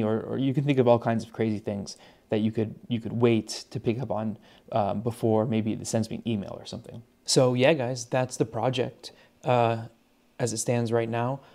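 A man's voice: medium pitch, gravelly, warm tone. A man giving a casual, unscripted tech demo in a conversational, hesitant way, as a hobbyist presenter at a desk at home. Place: small indoor home desk setup.

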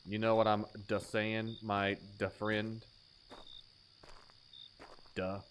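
A man's voice: lowish voice, little pitch movement, very slow, fairly bright tone, a little hiss or hum, poor audio. A man in his thirties giving crude, playful, exaggerated joking commentary as he streams a horror game.

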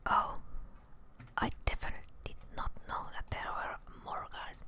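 Casting: young female ASMR gamer, fully whispered, hushed and unhurried self-talk, close mic. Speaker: gameplay streamer. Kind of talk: whispered ASMR game commentary. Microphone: close desk microphone, wearing headphones.

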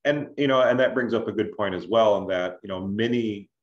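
A man explaining things as an adviser in an online information session, in a measured, conversational way with check-in questions. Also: lowish voice. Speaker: scholarship adviser, presenter. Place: indoors on a video call. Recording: roomy, dull video-call audio.

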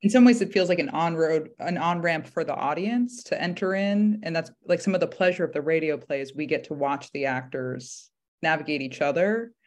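A woman's voice: low-pitched, moderate pace. A woman talking casually as a panel guest on a video call, thoughtfully rephrasing as she goes.

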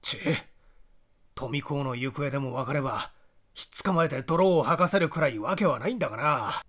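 A man's voice: mid-range voice, moderate pace, fairly bright tone, close to an everyday voice. A man voicing an earnest, puzzled young male amateur sleuth, muttering and reasoning aloud in a dramatised audiobook. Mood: suspicious, frustrated. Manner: muttering to himself, deliberating aloud. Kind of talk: dramatised audiobook character reading.

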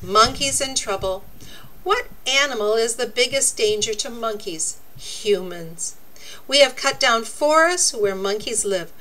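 A woman reading a children's nonfiction book aloud, with deliberate, expressive, teacherly phrasing. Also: on the high side, rough recording. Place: indoors, book laid open before a camera.

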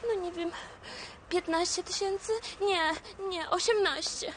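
A brash, high-voiced older woman. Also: fairly even intonation, unhurried, a bit bright, slight background noise. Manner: brash, boastful and foul-mouthed. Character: brash vain older woman hustler, extravagant and foul-mouthed. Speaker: film character, a broke woman selling shoes. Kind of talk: film acting.

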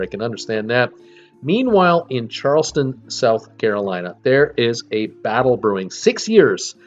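A man giving chatty, folksy commentary to viewers over travel footage. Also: mid-range voice. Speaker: cruise travel vlogger. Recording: slightly dull sound with faint music behind.

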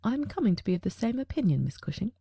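A woman reading the part of a sharp-witted male detective in an audiobook, reasoning aloud in a crisp, probing way. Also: on the high side, big pitch swings, racing, dry recording, clean studio sound, full character voice.

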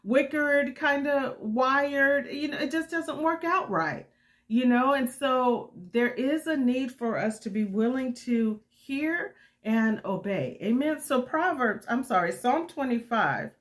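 A middle-aged woman getting over a cold, a little raspy, giving a candid, unscripted devotional talk to women as a ministry host. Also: high-pitched, expressive, slow pace. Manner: candid, unscripted, repeats and restarts.

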